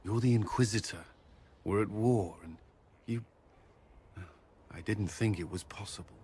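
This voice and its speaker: Casting: male actor as reserved knight-commander for a fantasy game, lowish voice, formal and a bit awkward, noticeable background ambience. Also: dark tone, a little hiss or hum, close to an everyday voice. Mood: awkward, tender. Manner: formal, measured, somewhat awkward and hesitant. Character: earnest, reserved military commander, former templar knight. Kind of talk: video game cutscene dialogue.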